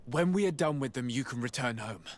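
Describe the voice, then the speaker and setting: An actor playing a composed, decisive diplomatic envoy in a video game, speaking in a formal, measured way. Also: male voice, mid-range voice, lively intonation, natural voice. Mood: composed, firm. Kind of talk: scripted video game acting.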